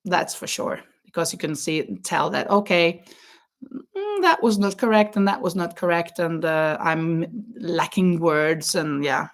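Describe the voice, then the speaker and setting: A woman's voice: on the low side, animated, unhurried. Chatty woman with a non-native English accent. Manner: chatty, laughs often, hunts for words. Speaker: crochet live-stream host. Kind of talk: casual live-stream chat, answering viewer comments.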